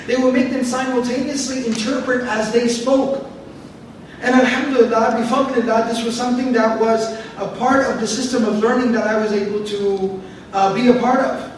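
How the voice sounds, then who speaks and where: A man with a very high voice gives a lecture on scripture. He explains each word carefully and repeats phrases for emphasis, often drifting into tangents. He stands some distance from the mic in a very echoey room, with faint background noise. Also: fairly even intonation, a little fast. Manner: repetitive, explains word by word, digressive. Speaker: Quran teacher.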